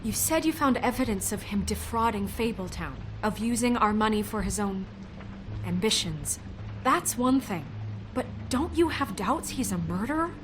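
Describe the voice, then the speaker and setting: An actress playing a stern, principled woman in authority in a video game, speaking in a firm, measured, reproving way over music. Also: medium pitch, expressive, background noise, natural voice. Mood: reasoning, firm. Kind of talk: dramatic video game acting. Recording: music under the voice.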